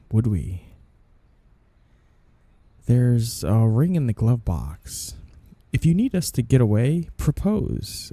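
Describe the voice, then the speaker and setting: Dark-voiced, flirty man acting a mafia boss. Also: lowish voice, no echo, full character voice. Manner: coy, teasing, drawn-out lines with sugary endearments. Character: flirty, two-faced male mafia boss, teasing and sly. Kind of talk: ASMR period roleplay acting.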